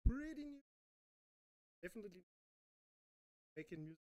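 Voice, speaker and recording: A man, a composer and guest, chatting casually on a livestream in a halting, self-effacing way. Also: sits high, very animated, mellow, no room sound, clean studio sound. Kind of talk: casual self-introduction and chat.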